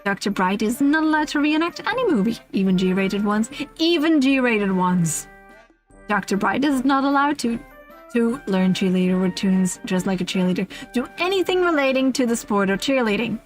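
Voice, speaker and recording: A woman streamer reads aloud with an amused, casual delivery. Her voice sits a little high, and she slips playful asides into formal wording. Soft music plays underneath. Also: expressive, normal speed. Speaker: streamer reading a humorous list aloud. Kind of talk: casual livestream read-aloud.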